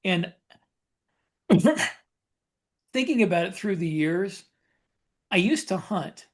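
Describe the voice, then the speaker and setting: A man co-hosting a podcast, telling personal anecdotes in a casual, rambling, halting way with self-corrections. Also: sits high, animated. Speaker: podcast co-host.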